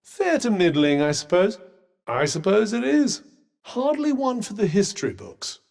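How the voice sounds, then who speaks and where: A haughty, sardonic magical companion from a video game, speaking in posh British tones. The voice sits a little high and swings expressively from line to line. It is droll, understated and faintly condescending, and rings with a long reverb. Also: male voice, huge pitch swings, unhurried, vocal fry, a little mellow, full character voice. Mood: dry, condescending.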